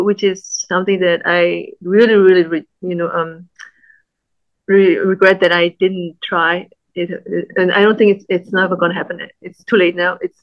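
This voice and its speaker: A woman in her forties with a German accent, talking as an interview guest with halting, restarting speech and frequent laughs, her speech affected by illness. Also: medium pitch, muffled recording. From Austria. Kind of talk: casual interview conversation.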